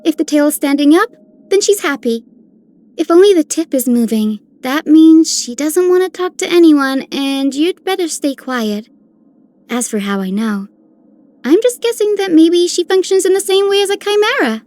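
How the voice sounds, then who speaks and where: High, earnest young game heroine. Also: female voice, big pitch swings, normal speed, darkish tone, no room sound. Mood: earnest, confiding. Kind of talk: video game character voice lines.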